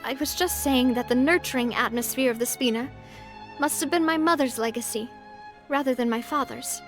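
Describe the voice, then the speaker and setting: A woman playing a refined, warm-hearted young leader in a video game, talking in a gentle, earnest, thoughtful way. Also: high-pitched, moderate pace, natural voice. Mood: fond, reflective. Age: young adult. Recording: background music.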